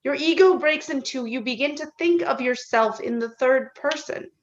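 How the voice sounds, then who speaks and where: A woman playing a dismissive, philosophizing country doctor in a play reading over a video call, measured and matter-of-fact. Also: sits high, close to an everyday voice. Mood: lecturing. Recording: slightly dull video-call audio.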